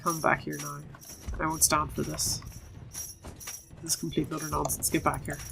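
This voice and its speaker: A woman's voice: deep voice, level tone, moderate pace, creaky. A woman giving casual, playful gameplay commentary, often chatting to the characters on screen as she plays. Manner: playful, talks to game characters. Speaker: video game streamer. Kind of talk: casual gameplay commentary and reading out game dialogue. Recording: music behind the voice. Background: game music.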